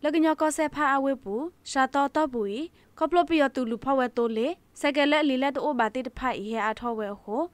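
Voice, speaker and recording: Female news reader, high register, scripted bulletin delivery, dry studio sound. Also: fairly quick, no room sound. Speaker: radio news presenter.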